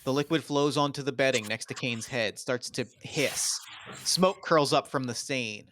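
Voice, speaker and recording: A man's voice: medium pitch, normal speed, fairly bright tone. A man doing scripted narration, reading out the stage directions of a screenplay at a table read.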